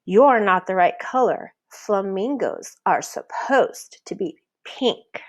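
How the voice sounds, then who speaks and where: A woman's voice: medium pitch, lively intonation, slow pace. A woman voicing disapproving flamingo friends in a storybook, speaking in a scolding, emphatic way.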